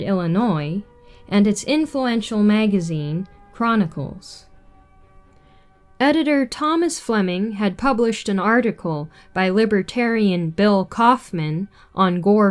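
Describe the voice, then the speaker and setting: A woman reading a written political article aloud in a measured, deliberate way. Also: mid-range voice. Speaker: reader.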